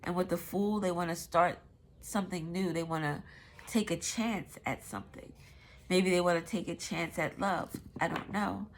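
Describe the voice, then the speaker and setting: Woman tarot reader, stuffy nose, slightly low voice, loose rambling delivery with some cursing, faint background noise. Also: moderate pace, rough recording. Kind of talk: casual tarot reading.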